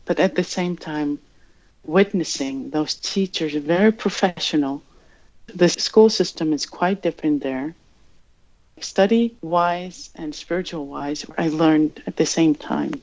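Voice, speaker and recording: Greenlandic woman in her thirties, journalist guest, unhurried, slightly muffled recording. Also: sits low, a little mellow. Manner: slow, thoughtful, searching for words. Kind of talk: reflective interview answers. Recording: dull lo-fi sound.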